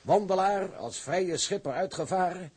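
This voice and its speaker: An actor playing an earnest bearer of harbour news in a period drama, husky and declamatory. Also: male voice, sits high, normal speed, a little mellow. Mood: earnest, stirred. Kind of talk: acted period drama dialogue.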